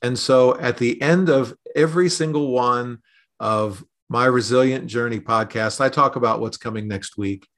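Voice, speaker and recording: Measured man in a podcast interview. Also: medium pitch, fairly bright tone. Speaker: podcast host being interviewed as a consultant.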